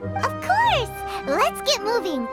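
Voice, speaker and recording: A tiny game fairy with an extremely high, wildly animated voice. She is bubbly, cheerful and eager, a little companion who loves her food. Loud game music plays behind her. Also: takes it slowly, exaggerated voice. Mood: cheerful, coaxing. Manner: bubbly, speaks of self in third person. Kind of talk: video game character acting.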